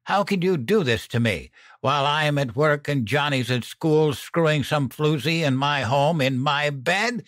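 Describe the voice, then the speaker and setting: A man's voice: medium pitch, sing-song, slow pace, no room sound, studio quality, voice pushed up, full character voice. A man voicing a distraught, defiant mother in an audiobook, with a harsh, tight, strained delivery. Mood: hurt, furious. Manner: anguished, strained confrontation.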